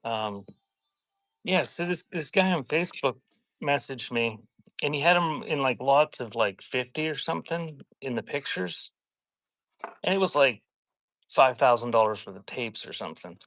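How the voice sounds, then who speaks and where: Bright-voiced man hosting a casual live sale. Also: mid-range voice, expressive, normal speed, dry recording, low-quality recording. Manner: informal, rambling, conversational. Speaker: live auction seller, host. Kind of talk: casual live-selling patter with chatty anecdotes.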